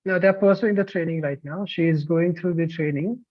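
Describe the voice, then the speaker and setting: A man's voice: on the high side, normal speed, dry recording, highs cut off. A man presenting a course over a video call, talkative, with many fillers and restarts. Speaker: course counselor presenting online. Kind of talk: online sales presentation.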